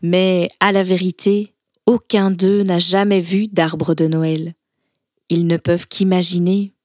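A woman's voice: on the low side, little pitch movement, slow pace, dry recording, clean studio sound. A woman narrating a scripted children's story as a storyteller. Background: soft background music.

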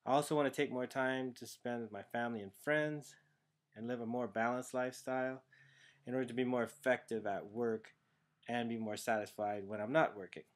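A man from Trinidad and Tobago, a young adult to his thirties, giving a prepared class video essay, earnest and steady, with some "uh" fillers, recorded on a webcam in a small room at home. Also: medium pitch, a little fast. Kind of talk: prepared personal presentation. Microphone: built-in webcam microphone, close framing.

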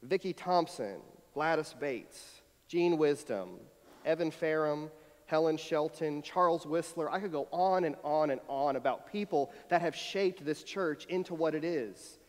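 A man's voice: a little high, a little slow, a little mellow. An American man in his thirties, a pastor giving a conversational, personal sermon with a reflective, storytelling manner at a church pulpit. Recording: long hall reverb from church sanctuary.

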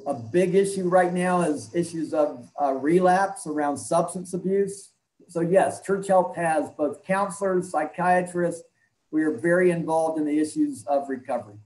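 A man in his sixties giving measured, halting answers as an interview guest over a video call from an indoor room. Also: a little high, darkish tone, reverberant, rough recording. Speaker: interview guest, health clinic leader and doctor.

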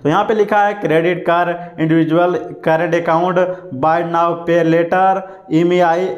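A man's voice: on the high side, little pitch movement, a little slow, echoey room, muffled recording, faint music behind. A man narrating a phone screen-recording tutorial, listing app features one by one.

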